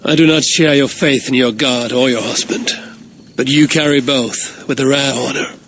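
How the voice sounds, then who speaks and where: An actor playing a steady, blunt Viking warrior leader in a video game cutscene, speaking tersely and evenly. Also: male voice, mid-range voice, moderate pace, slight background noise, lightly acted. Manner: terse and level.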